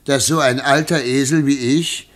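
An actor playing a genial old aristocratic governor and indulgent father in a radio drama, speaking in a measured, wryly amused way. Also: medium pitch, fairly even intonation, natural voice. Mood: amused, musing. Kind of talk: German radio drama acting.

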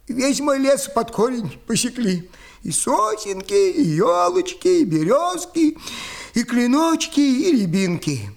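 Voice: mid-range voice, sing-song, slow, creaky, exaggerated voice. A performer playing a tiny, spry old male forest goblin in a fairy-tale audio play, grumbling and boastful, with folksy exclamations and repeated words. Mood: lamenting. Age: older.

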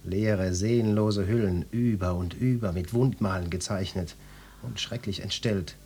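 An actor playing a self-important hard-boiled police detective in a satirical radio play, with a husky voice and a pompous, pedantic, portentous way of talking. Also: male voice, on the low side, measured pace, mellow, slight background noise. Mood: grave, self-important. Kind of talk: German satirical radio drama acting.

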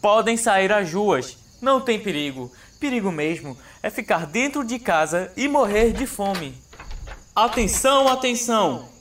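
A man's voice: high-pitched, sing-song, unhurried, a bit bright, distant mic, a little hiss or hum, exaggerated voice. A man playing a fawning town crier in a radio drama, calling out proclamations. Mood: proclaiming. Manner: declamatory, calling out. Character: loud, fawning town crier serving the mayor.

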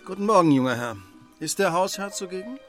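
An actor playing an incisive, courteous and commanding gentleman detective in a radio play, formal and probing. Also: high-pitched, animated, fairly quick, faint music behind. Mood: polite, assured.

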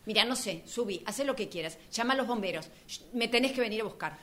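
A woman's voice: a little high, lively intonation, fast talker, fairly bright tone. A woman acting an impatient, furious woman in an amateur radio drama, demanding and foul-mouthed, with a Rioplatense Argentine accent. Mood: furious, exasperated.

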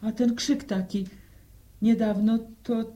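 A woman's voice: on the high side, steady tone, takes it slowly, dark tone, highs cut off. An actress playing an anxious older village widow and protective mother in a radio drama, fretful and sighing.

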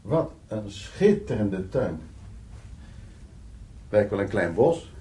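An actor playing a worldly, self-assured, flirtatious middle-aged art restorer, speaking in short, familiar, unhurried phrases in a naturalistic radio drama. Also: male voice, fairly low pitch, sing-song, warm tone, husky, full character voice. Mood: easy confidence.

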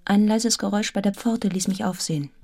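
An actress playing an earnest young village schoolmistress who tells her story in a measured, articulate radio drama narration. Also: medium pitch, gravelly, a little mellow. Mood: reflective. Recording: music behind the voice.

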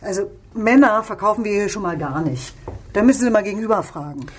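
An actress playing a brothel madam in a German radio crime drama, her voice husky and breathy. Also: medium pitch, expressive, fast talker, slight background noise, natural voice. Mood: defensive. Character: brothel madam, sultry saleswoman, frightened when pressed.

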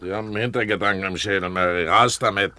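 A gruff pirate captain in a seventies radio drama. The voice sits fairly low and has a hard, forceful edge. He grumbles and blusters, a domineering man with no patience for anyone. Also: fairly even intonation, racing. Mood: suspicious, scornful. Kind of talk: German radio play acting, 1970s.

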